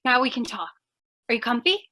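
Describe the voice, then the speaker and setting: A woman acts out an eager, bossy lady reporter from an old radio comedy-thriller. Her voice is very high and sharp, with big melodramatic swings. She gushes and insists with total confidence. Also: very animated, fairly quick. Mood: bossy urgency. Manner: gushing, theatrical, insistent.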